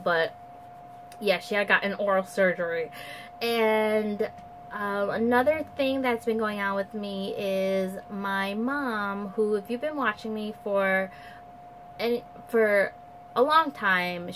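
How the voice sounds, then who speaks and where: A woman's voice: medium pitch, a bit bright, a little hiss or hum, poor audio. A young woman with a nasal voice chatting casually to camera in her bedroom, rambling with fillers and restarts. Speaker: vlogger. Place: bedroom at home, indoors. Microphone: camera at close range.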